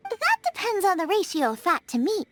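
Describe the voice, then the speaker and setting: A woman's voice: very high-pitched, huge pitch swings, moderate pace, fairly bright tone, exaggerated voice. A woman voicing a cheerful, headstrong young female chef in a game cutscene, bubbly but stubborn. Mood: explaining. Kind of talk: video game cutscene dub.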